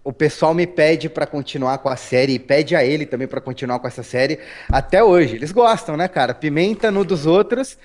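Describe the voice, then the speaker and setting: Brief: Paulistano male guest, relaxed storyteller, raised pitch, lots of restarts and "né?". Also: a little fast. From Brazil. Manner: chatty, storytelling, frequent restarts and tag questions. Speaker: interview guest. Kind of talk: casual interview talk.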